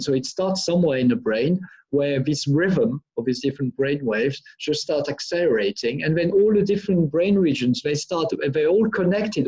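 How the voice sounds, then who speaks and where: A man's voice: fairly high pitch, mellow, husky. Middle-aged man from France explaining. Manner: explanatory, restarts and repeats phrases often. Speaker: brain engineer answering pupils' questions. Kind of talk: live online Q&A with schoolchildren. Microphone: video call. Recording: dull lo-fi video call audio.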